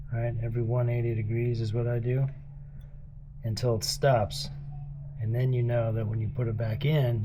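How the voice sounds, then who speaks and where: A man with a raspy voice, patiently showing his son how to take apart and clean a carburetor, one step at a time. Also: medium pitch, noisy background. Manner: patient, plainspoken, explains step by step. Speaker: dad teaching his son carb cleaning. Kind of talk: casual hands-on demonstration.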